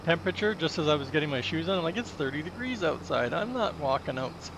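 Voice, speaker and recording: A man with a raspy voice gives easygoing let's-play commentary from a small room at home. He talks loosely and chuckles between remarks. Loud background noise runs under his voice the whole time. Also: fairly high pitch, expressive, fast talker. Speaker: gaming channel host.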